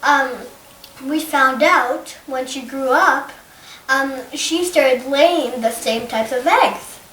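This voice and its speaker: Brief: high-voiced female student, slow and thoughtful, echoey room. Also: fairly bright tone, slight background noise. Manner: thoughtful, hesitant with fillers. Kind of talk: casual classroom discussion. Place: home.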